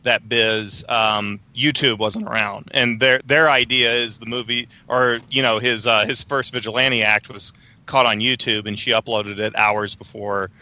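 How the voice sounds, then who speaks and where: A man with a low, very bright and sharp voice talks casually about films. His delivery is relaxed and conversational, with frequent fillers. It sounds like an easygoing podcast chat. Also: measured pace, dry recording, dull audio. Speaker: podcast co-host. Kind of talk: casual film discussion.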